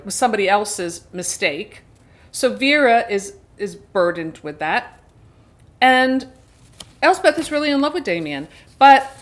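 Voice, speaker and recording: A woman's voice: mid-range voice, fairly bright tone. A woman casually retelling a story as a book reviewer, chatty and wryly amused, leaning on key words. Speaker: book reviewer and video host.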